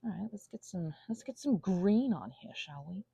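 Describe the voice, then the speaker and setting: Anxious, whimsical woman with creaky voice. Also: medium pitch, expressive, dark tone. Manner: theatrical, playful whimsy; halting, tangent-prone. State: anxious, panicky; unwell. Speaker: ASMR channel host. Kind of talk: casual rambling vlog.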